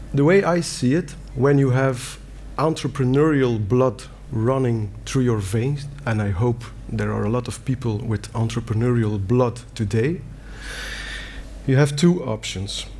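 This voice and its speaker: A man's voice: mid-range voice, slow, darkish tone. Belgian guy w dutch accent, middle aged, talking at a conference, earnest and plain, bit of hiss in the back. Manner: earnest, plain wording with fillers and restarts. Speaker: conference speaker. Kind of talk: conference talk. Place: conference hall.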